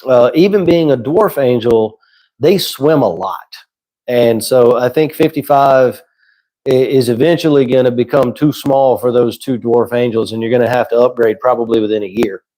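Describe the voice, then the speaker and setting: A man's voice: mid-range voice, moderate pace. A man hosting a casual livestream who is losing his voice, chatting easily and answering viewers' questions. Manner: relaxed, conversational, answers chat questions. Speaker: livestream host.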